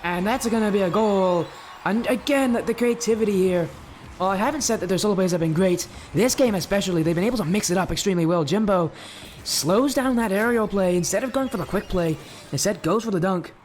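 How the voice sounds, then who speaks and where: A young man with a high-pitched voice casting an esports match live, chatty and rambling, answering chat between plays. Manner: chatty, rambling, replies to chat mid-play. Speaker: esports caster and streamer. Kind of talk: live game commentary.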